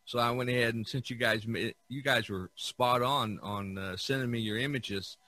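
A man's voice: mid-range voice, moderate pace, sharp tone, no echo. A man hosting a podcast in a casual, rambling, folksy way. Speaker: podcast host. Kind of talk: casual chat.

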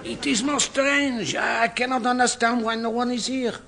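High, pompous chairman. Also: fairly even intonation, normal speed, gravelly, bright, forceful tone, some chatter behind, exaggerated voice. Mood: officious. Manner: formal, pompous. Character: brisk, officious male French conference chairman. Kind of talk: radio sitcom acting.

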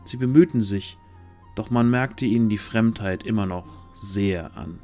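A man's voice: fairly low pitch, measured pace, mellow. A man narrating a scripted fantasy story as the narrator of an audio drama. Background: background music.

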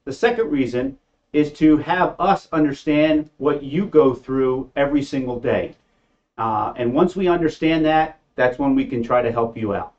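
A man explaining a slide presentation in a plain, halting way, with frequent restarts. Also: mid-range voice, darkish tone, dull audio. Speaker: company presenter.

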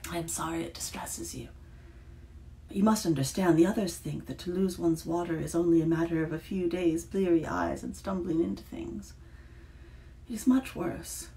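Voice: medium pitch, normal speed, vocal fry, voice pushed up, lightly acted. A reader whispering as a fading, weary kappa woman. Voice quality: hushed and whispery.

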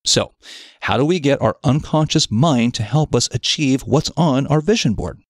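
A man reading a scripted self-development article aloud in a measured, articulate, explanatory manner. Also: mid-range voice, dry recording, studio quality. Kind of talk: scripted narration of an audio article.

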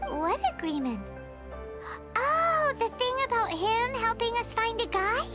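A woman voicing a small, childish, excitable fairy companion with a squeaky voice in a video game. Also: unhurried, loud music behind the voice. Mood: curious, eager. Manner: speaks of herself in third person, exclaiming. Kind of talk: video game character voice acting.

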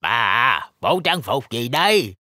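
A performer voicing a kindly old grandpa shark in a children's cartoon, animated and coaxing. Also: medium pitch, slow pace, dry recording, clean studio sound, exaggerated voice. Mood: concerned.

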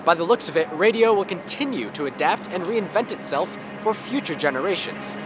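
A man in his twenties narrates a documentary from a script. His voice sits high and moves in lively swings of pitch, with loud music underneath. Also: fairly quick. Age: 20s.

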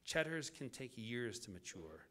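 A man delivering a scripted public lecture as the lecturer, in deliberate, measured phrases with dramatic pauses. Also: medium pitch, big pitch swings, sharp tone.

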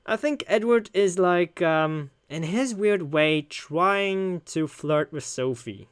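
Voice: mid-range voice, animated, slow pace, smooth, dry recording, studio quality. A person doing casual gameplay commentary, musing aloud in hesitant asides. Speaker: video game player reading and commenting.

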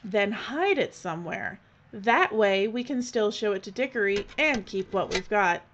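An actress playing a shrewd, bossy documentary crew leader with a brisk, businesslike manner in an audio drama. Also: female voice, medium pitch, animated, fairly bright tone. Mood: shrewd, commanding. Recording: dull, noisy audio.

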